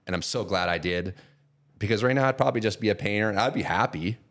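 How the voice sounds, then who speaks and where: Deep-voiced man in his forties, rambling. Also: quick pace. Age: 40s. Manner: rambling, with many restarts and trailing off quietly. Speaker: guest, custom painter and product seller. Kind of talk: casual podcast conversation.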